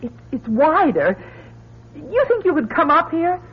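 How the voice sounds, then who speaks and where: A high, unhurried voice on a dull radio recording: a nervous but brave woman in a suspense drama. She speaks haltingly and with effort, as if scouting somewhere dark. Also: very animated, slight background noise. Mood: wary. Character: anxious but plucky woman scouting a dark escape tunnel.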